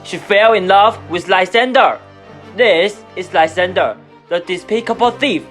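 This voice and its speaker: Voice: mid-range voice, a little slow, fairly bright tone, faint music behind, close to an everyday voice. An amateur actor playing a stern, stubborn father who speaks in an indignant, commanding way in a radio drama. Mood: indignant complaining.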